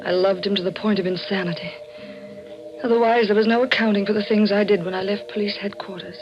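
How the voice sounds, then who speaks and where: An actress playing a high-strung, determined widow with a dramatic, urgent delivery in a 1940s radio mystery. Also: female voice, fairly even intonation, fast, over music, natural voice. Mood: brooding narration. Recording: dull old radio recording.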